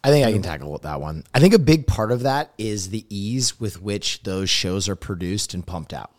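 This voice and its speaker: Chill guy co-hosting, lowish voice that swings around a lot, trails off. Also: moderate pace. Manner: relaxed, trails off into mumbles, laughs. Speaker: podcast co-host. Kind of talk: casual podcast chat.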